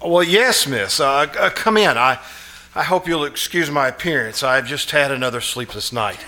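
A man playing an anxious, haunted fellow in live old-time radio suspense style, halting, pleading and earnest. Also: medium pitch, animated, pushed and bright, slight background noise. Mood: agitated, sleepless. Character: anxious, haunted man obsessed with a shadowy vision.

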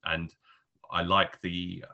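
A man with a very deep voice and a crisp, bright tone talks through a film review. His delivery is casual and rambling, full of hesitant, trailing clauses as he thinks aloud. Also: monotone, slow, lo-fi sound.

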